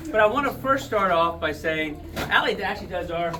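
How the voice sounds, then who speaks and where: A man with a notably high voice hosts the evening, jovial and quick to joke about himself as he introduces a guest. He is heard from across the hall. Crowd chatter and noise fill the space behind him. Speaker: event emcee. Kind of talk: introducing a speaker at a party. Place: indoor event hall. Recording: distant mic in a noisy crowded hall.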